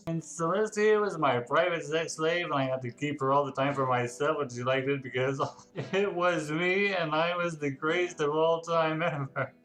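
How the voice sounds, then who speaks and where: Male reader as bratty pony king in a storybook tale, bright forceful tone, boastful, crude, bombastic. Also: a little high, normal speed, close to an everyday voice, poor audio. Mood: smug, gloating. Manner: bombastic, crude, self-important. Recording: music behind the voice.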